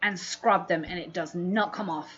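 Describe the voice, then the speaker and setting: A woman's voice: on the low side, moderate pace, fairly bright tone, slightly rough. A woman from London with a London English accent, chatting casually and in a rambling way as she hosts a livestream and answers viewers. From London, England. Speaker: livestream host, fishkeeping hobbyist. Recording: dull, muffled livestream audio.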